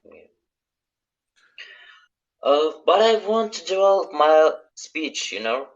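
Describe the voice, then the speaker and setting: A man who speaks English as a second language, talking in hesitant, halting sentences as a guest on a casual live chat. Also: fairly high pitch, very animated, dull audio. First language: not English. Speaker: live-stream guest, hotel receptionist.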